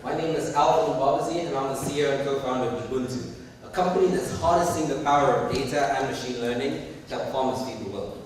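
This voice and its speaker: A man with a Ugandan accent delivers a rehearsed, emphatic startup pitch. His voice carries from a distance through a large, echoing hall with a long reverb. Faint noise sits underneath. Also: quick pace. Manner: storytelling, emphatic. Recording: echoey hall from far off.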